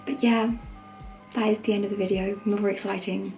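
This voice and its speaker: A young woman with a croaky voice, chatting informally and rambling in a self-deprecating way as a student vlogger. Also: medium pitch, flat delivery, brisk, dark tone, faint music behind, poor audio. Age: young adult. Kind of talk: casual chat to camera.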